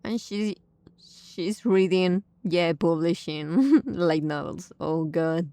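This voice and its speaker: A woman gives casual gameplay commentary at a slow, easy pace. Her voice is mellow and sits at a middle pitch. The recording is very dry, with hardly any room on it. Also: no room sound. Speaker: game streamer. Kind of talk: casual gameplay reactions and reading aloud.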